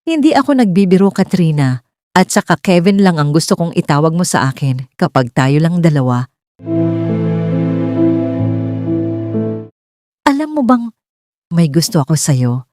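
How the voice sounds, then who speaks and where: A woman's voice: on the low side, big pitch swings, moderate pace. Woman playing an earnest, patient man in love. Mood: earnest confessing. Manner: sincere, gently persuasive.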